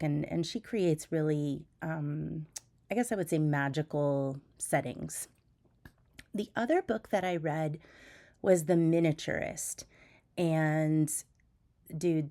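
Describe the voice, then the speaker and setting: Woman vlogger, stuffy from a cold, low-ish voice, relaxed chatty delivery with restarts. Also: gravelly. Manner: chatty, with frequent ums and restarts. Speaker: reading journal vlogger. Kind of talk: casual chat and voiceover. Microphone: voiceover mic.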